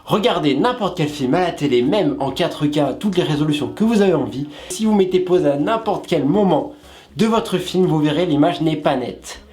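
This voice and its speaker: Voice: mid-range voice, lively intonation, normal speed, a little mellow. A co-host of a web show explaining things casually, with playful asides to the viewers.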